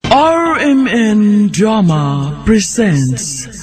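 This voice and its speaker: High, sweeping Filipino male drama announcer over music. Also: very animated, very slow. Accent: Cebuano Filipino. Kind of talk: dramatic radio drama introduction.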